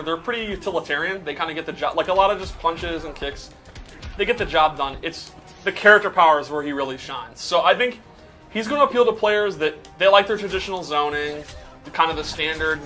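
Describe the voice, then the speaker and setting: A man's voice: fairly high pitch, sharp tone. A man giving casual, explanatory commentary on how a video game character plays. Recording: music playing behind the voice.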